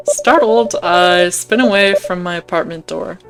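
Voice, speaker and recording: A young woman narrates a visual novel for a gaming video. Her pitch sits a bit low, and she moves between storytelling and casual asides, with faint music in the background. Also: a little slow. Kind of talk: reading a visual novel aloud with casual commentary.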